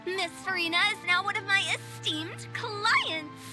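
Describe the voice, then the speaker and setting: A woman's voice: extremely high pitch, unhurried, over music. A woman playing a playful, sly young female funeral director in a video game, teasing and chirpy. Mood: breezy, flattering. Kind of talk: English video game voice acting.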